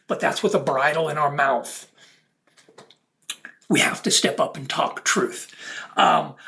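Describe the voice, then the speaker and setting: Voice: medium pitch, vocal fry, fairly bright tone. A speaker delivering an unscripted, preachy monologue to viewers in emphatic, broken-up phrases. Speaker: content creator.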